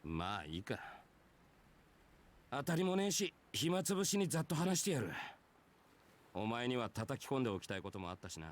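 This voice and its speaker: An actor voicing a lazy, brusque warrior man in a visual novel, with rough, listless, offhand delivery. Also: medium pitch, sing-song, rapid-fire, pauses often, natural voice. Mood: lazy, relenting. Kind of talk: Japanese visual novel voice acting.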